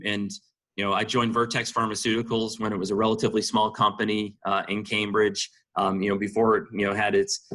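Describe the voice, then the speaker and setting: Bright-voiced man with a lowish pitch. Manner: peppers sentences with "you know". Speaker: senior marketing executive being interviewed. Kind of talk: conversational interview answers.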